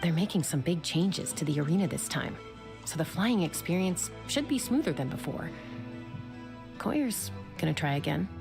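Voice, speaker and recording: A confident young rider talks in a low, gravelly voice. She sounds steady and encouraging. Game music plays faintly underneath. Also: moderate pace. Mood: friendly. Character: confident young woman flying rider, calm mentor. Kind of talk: video game voice acting.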